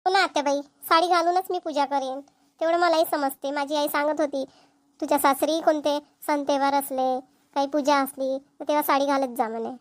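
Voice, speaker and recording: A woman's voice: high voice, steady tone, a little fast, natural voice. A woman voicing an earnest, stubborn young village woman in a Marathi cartoon, with a rural Maharashtrian accent. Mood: dutiful. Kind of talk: amateur cartoon comedy-drama dubbing.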